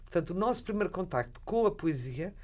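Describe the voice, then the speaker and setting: A Portuguese woman in her sixties with a European Portuguese accent, chatting about books in an animated, halting way. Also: lowish voice. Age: 60s. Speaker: radio book show co-host. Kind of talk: casual literary chat.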